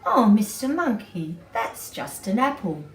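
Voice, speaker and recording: Woman playing polite, pleading little storybook girl, raised pitch, roomy sound. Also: very animated, unhurried. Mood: tired, pleading. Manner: polite, pleading, simple childlike phrasing. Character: eager, polite little girl on a treasure hunt. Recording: distant mic, roomy echo, background noise.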